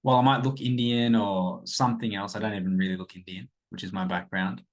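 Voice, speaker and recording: A man in his thirties with an Australian accent rambles through a personal podcast monologue. His voice sits a little low. He hesitates often, filling pauses with ums and restarting his sentences. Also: fairly even intonation. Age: 30s. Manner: hesitant, many ums and self-corrections. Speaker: podcast host.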